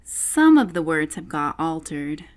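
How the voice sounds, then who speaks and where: A woman's voice: low voice, very animated, a little slow. A woman voicing a polite, timid, easily flustered little girl in a storybook, with a hesitant manner.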